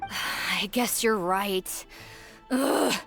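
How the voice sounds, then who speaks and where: A woman's voice: medium pitch, extremely slow, gravelly, fairly bright tone, faint music behind, heavily acted. A woman voicing an uneasy, resentful conspirator in an anime game, with a doubtful and reluctant manner.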